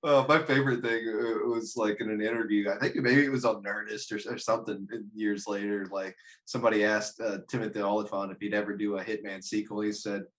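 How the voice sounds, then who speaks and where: A man in casual panel chat, jokey and halting, swearing casually as he thinks aloud. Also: on the low side, steady tone, fairly bright tone, poor audio. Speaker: podcast panelist.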